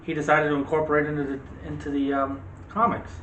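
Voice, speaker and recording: A man's voice: fairly high pitch, flat delivery, quick pace, fairly bright tone. Guy cohosting a movie chat, rambly and kinda argumentative, roomy echo, bit of background noise. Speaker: co-host of a movie discussion show. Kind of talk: casual opinionated movie chat. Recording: echoey room with faint background noise.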